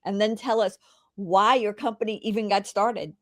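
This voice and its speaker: A woman's voice: medium pitch, fairly even intonation, moderate pace, a bit bright, dry recording. Enthusiastic woman interview host, dry sound. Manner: enthusiastic, chatty. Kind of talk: casual interview hosting.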